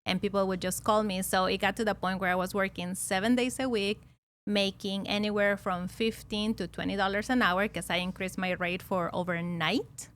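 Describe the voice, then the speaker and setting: A woman's voice: mid-range voice, steady tone, normal speed, fairly bright tone. Chatty Peruvian-accented woman storyteller. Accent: Peruvian Spanish. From Peru. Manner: chatty, laughs often, many fillers and restarts. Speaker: podcast guest, loan officer. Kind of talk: casual storytelling interview.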